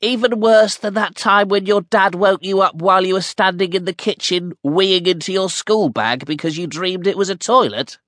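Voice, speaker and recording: A man's voice: sits high, normal speed, hardly any pauses, dry recording, clean studio sound, voice pushed up. A man playing an eager ten-year-old boy. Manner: earnest and excitable.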